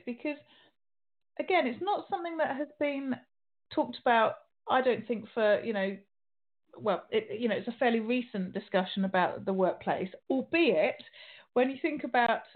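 A middle-aged woman hosting an interview show, talking in a chatty way with restarts and fillers. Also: medium pitch. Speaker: radio show host. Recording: tinny phone-like sound.

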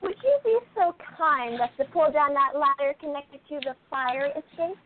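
A woman playing a composed, shrewd female sleuth in a radio play, with deliberate and rather formal phrasing. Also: high voice, animated, slight background noise. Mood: poised. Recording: thin, phone-like band-limited audio.

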